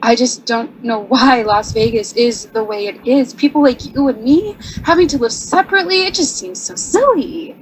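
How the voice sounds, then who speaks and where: An actress playing an earnest, shy young married artist in a radio drama, speaking hesitantly and apologetically. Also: female voice, fairly high pitch, normal speed, hardly any pauses, lightly acted, rough recording. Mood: troubled.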